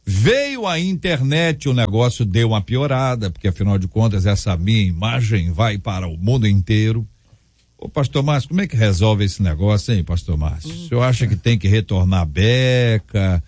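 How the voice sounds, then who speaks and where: A man hosting a talk show, chatty and questioning in a lively way. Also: fairly low pitch, moderate pace, dry recording, clean studio sound.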